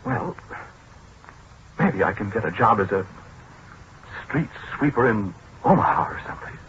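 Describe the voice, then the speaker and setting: Forlorn, self-pitying American scientist, hesitant, very varied intonation, 1940s radio drama with slight hiss. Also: male voice, on the low side, animated, moderate pace, a bit bright. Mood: wistful, self-pitying. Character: disgraced, apologetic scientist, gentle, forlorn, talks to machines. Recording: muffled, noisy 1940s radio recording.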